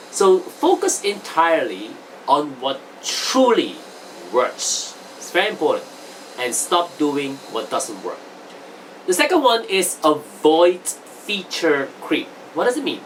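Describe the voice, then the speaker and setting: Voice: medium pitch, fairly bright tone, distant mic, slight background noise, low-quality recording. A non-native English speaker giving an informal self-improvement lesson as a host, explaining advice didactically and often checking in with the listener. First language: not English. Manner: didactic, checks in with "okay?", "right?".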